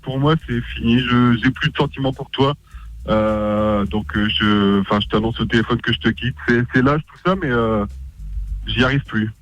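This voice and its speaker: A man's voice: medium pitch, normal speed, a bit bright, no echo, highs cut off. A man calling into a radio show, staging a hesitant breakup prank call full of fillers and restarts. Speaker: listener caller.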